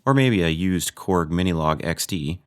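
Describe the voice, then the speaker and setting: A man's voice: sits low, animated, moderate pace, faint music behind. A man hosting a gear review video, narrating from a script with dry, joking asides.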